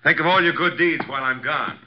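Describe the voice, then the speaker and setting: An actor playing a breezy, tough detective's assistant with a wry, slangy, flippant manner in 1950s radio drama style. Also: male voice, a little high, fairly quick, lightly acted. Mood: sardonic. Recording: dull, faintly noisy old radio recording.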